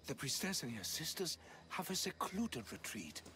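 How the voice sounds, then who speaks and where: An actor playing an old, secretive villager in a video game, hesitant, awed and fearful. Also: male voice, a little high, big pitch swings, normal speed, a little hiss or hum. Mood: fearful, guarded. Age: older. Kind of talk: video game cutscene acting. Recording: music loud behind the voice.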